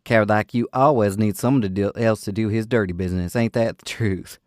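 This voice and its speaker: A man voicing a fiery, fearless young woman of the Old West, shouting defiantly in folksy talk for an audiobook. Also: on the low side, steady tone, brisk, dry recording, studio quality, close to an everyday voice. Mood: scornful shouting.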